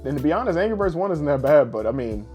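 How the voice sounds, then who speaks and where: A man's voice: on the high side, very animated, quick pace, darkish tone, soft background music. A man co-hosting a podcast in casual, joking banter with some swearing. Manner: profane, joking. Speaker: podcast co-host. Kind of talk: casual banter about films and games.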